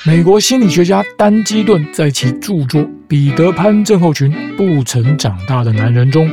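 A man hosting a podcast, calmly narrating a scripted explanation in a measured storytelling manner. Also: a little high. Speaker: podcast host. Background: background music.

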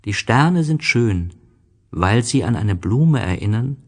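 A man voicing a gentle, innocent young prince with a slow, wondering manner in a storybook reading. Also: lowish voice, lively intonation, voice pushed up, full character voice. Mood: dreamy, earnest.

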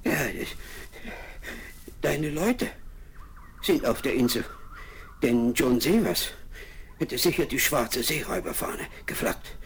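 Castaway, male,, nervous stammer, slow delivery, noisy vinyl. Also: mid-range voice, animated. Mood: hushed. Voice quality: stammering, halting. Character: ragged marooned male castaway, jittery and stammering. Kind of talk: 1970s radio play acting.

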